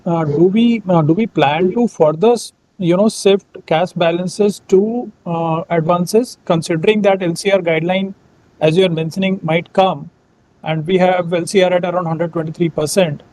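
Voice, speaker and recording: High-voiced Indian man, faint line noise. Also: steady tone, moderate pace, darkish tone, low-quality recording. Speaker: analyst asking questions. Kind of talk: spontaneous conference-call questioning. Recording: faint noise on conference call.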